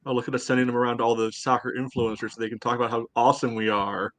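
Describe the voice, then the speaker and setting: A man with a medium-pitched voice talks very quickly in casual podcast banter. He is sarcastic and teasing, and the sound is dry with no room echo. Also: flat delivery, fairly bright tone. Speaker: podcast co-host. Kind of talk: casual sports chat.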